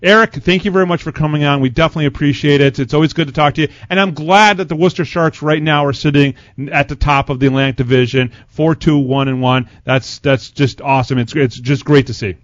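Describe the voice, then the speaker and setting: Higher-pitched male radio host, dry lo-fi sound. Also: steady tone, quick pace, hardly any pauses, fairly bright tone, no room sound. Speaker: sports radio show host, interviewer. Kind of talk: conversational hockey radio interview.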